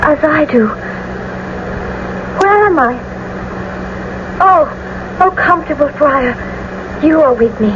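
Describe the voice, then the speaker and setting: An actress playing a bewildered young bride in a classical radio drama. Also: female voice, high voice, expressive, takes it slowly, long pauses. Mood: dazed, searching. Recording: dull, noisy old radio broadcast.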